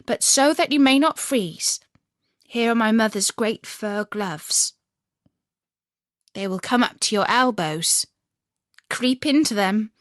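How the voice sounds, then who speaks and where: A woman's voice: fairly high pitch, slow, long pauses, no room sound, studio quality. A woman voicing a fierce but kindly little robber girl in a storybook, commanding and blunt. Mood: bossy, brisk.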